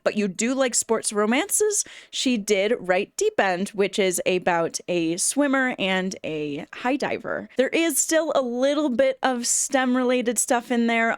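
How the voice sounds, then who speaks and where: A woman's voice: medium pitch, clean tone. A woman with a mid-range voice chats informally, as a reviewer recommending books. The sound is dry, with very little room echo. Her delivery is that of a casual talk to camera. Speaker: book reviewer.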